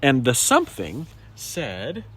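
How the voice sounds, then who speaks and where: A man's voice: mid-range voice, huge pitch swings, slow, fairly bright tone, breathy edge. A man reading a children's story aloud with dramatic, emphatic expression. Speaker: storybook reader.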